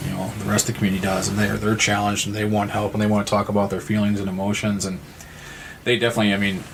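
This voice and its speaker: Low, flat-toned man thinking aloud. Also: background noise. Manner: halting, repeats words while thinking aloud. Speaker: podcast participant. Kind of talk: reflective conversational discussion.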